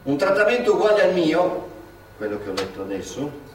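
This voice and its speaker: An actor playing a polite interviewer who questions the old women and reads aloud from a book, in a live stage recording. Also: male voice, a little high, sing-song, fairly quick, roomy sound, far from the mic, a little hiss or hum, poor audio. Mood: inquiring. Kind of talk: theatre reading, recorded live.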